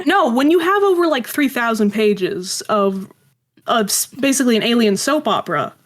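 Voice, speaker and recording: A woman's voice: on the high side, animated, moderate pace. A woman being interviewed as a creator, telling stories casually and self-deprecatingly and acting out little quoted exchanges. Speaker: guest, audio drama creator.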